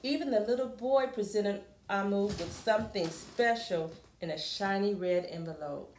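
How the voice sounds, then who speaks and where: A woman reading a picture book aloud expressively to children, with explanatory asides and questions in a deliberate manner. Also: medium pitch, darkish tone, slight background noise. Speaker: children's storybook reader. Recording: roomy, echoing room sound.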